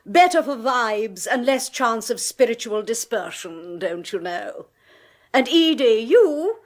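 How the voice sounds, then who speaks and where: Bossy radio-play medium, imperious, high voice, slow and grand. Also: female voice, lively intonation. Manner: imperious, commanding and impatient. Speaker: medium and clairvoyant.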